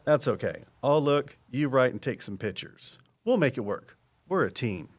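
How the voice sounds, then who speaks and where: An actor playing an easygoing small-town conservation officer in an audio drama, explaining things in a folksy, patient way. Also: male voice, medium pitch, expressive, normal speed, close to an everyday voice. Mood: easygoing, encouraging.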